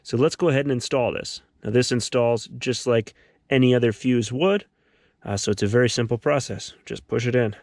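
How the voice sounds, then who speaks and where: A man's voice: medium pitch, a little fast, darkish tone, no echo. A man narrating a hands-on DIY tutorial as its host, explaining step by step and thinking aloud as he works. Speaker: DIY car electronics video host.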